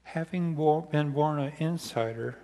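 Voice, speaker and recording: An older American man delivers a sermon in a fairly high voice. He phrases deliberately and pauses often, as if reading from notes. His words ring with the long reverb of a church sanctuary. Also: mellow. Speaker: pastor preaching. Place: large church sanctuary, indoors, seated at the front. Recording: reverberant church hall sound.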